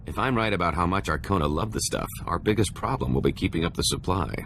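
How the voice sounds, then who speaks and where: Deep, animated, scheming hustler. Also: male voice, fast talker, slight background noise. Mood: sly, enthused. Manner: wry, animated, salesman-like storytelling. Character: scheming, gleeful male con-man sidekick, chatty hustler. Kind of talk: video game cutscene acting.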